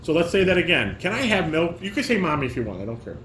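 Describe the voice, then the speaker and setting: A man with a high, swooping voice directs a home table read, switching between instructions and read-aloud stage directions. The room echoes, with a light hum of fan and air conditioner. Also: big pitch swings, quick pace, fairly bright tone. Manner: instructing, repeats and corrects lines. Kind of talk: casual coaching mixed with reading stage directions aloud. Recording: roomy echo, fan and air conditioner noise.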